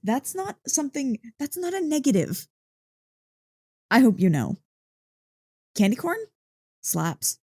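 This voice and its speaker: Woman doing an excitable dog-girl girlfriend for asmr, mellow n swoopy, slow playful teasing, super close dry mic. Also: high-pitched, slightly rough, no echo, exaggerated voice. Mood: playful, mock-indignant. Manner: playful, expressive, mock-indignant teasing. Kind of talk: ASMR roleplay, intimate one-sided dialogue. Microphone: close, dry microphone.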